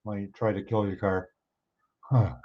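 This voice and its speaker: Hoarse man chatting on a livestream. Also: on the low side, level tone, a little slow. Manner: chatty, reads and answers viewer comments. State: hoarse, clearing his throat. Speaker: livestream host. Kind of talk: casual chat with live viewers. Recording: somewhat dull, lo-fi stream audio.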